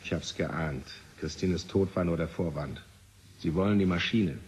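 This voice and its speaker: A weary, resigned man of science in a vintage radio play. His low voice is very husky and subdued. He answers in short, terse phrases. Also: moderate pace, a little mellow. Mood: resigned, bitter. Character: resigned, weary male scientist bearing bad news. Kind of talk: German radio play acting, 1970.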